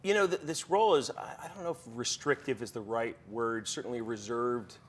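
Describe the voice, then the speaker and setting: A man's voice: mid-range voice, brisk, a little hiss or hum. A man interviewing an actor, asking long questions with stumbles and repeats. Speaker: entertainment interviewer.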